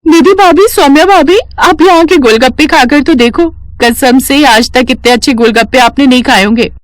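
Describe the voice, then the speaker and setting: An excitable, loyal young married woman from an animated story, acted by a woman. Her voice sits high and exclaims with emphasis. The sound is dry and close. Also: normal speed, no echo, natural voice. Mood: enthusiastic.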